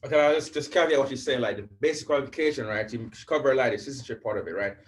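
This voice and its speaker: A man's voice: medium pitch, rapid-fire. Casual man recruiter from Jamaica. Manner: casual, keeps asking "right?". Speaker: army recruiter. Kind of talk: informational talk.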